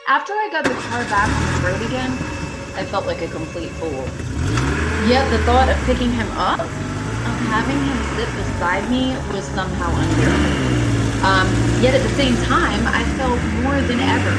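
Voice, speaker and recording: A woman's voice: medium pitch, wildly swooping pitch, normal speed, heavy background noise. A woman playing an uneasy, haunted motorist driving alone, telling her story dramatically in an amateur radio thriller. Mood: haunted, dread. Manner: dramatic first-person storytelling. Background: music.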